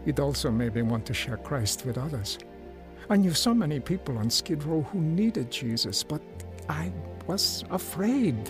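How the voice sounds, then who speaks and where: Earnest reformed drinker, music behind. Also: male voice, fairly high pitch. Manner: hesitant, sincere. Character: earnest, humble reformed drinker recalling his life. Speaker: narrator and lead character. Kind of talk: radio drama acting with first-person narration.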